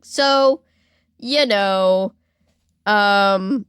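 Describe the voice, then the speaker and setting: Podcast host: American woman, conversational storyteller with mid-range pitch, acts out quotes, very dry studio sound. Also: drawn-out words, clean tone, no echo. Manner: animated retelling with mock quotes and filler "like". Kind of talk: casual explanatory storytelling chat.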